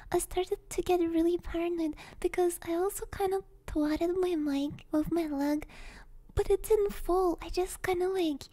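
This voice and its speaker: A young woman speaking in a soft, breathy, often whispered voice, chatting hesitantly and gently as an ASMR streamer close to the microphone. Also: extremely high pitch. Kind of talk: ASMR, casual chat with viewers. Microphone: close stereo ASMR microphone.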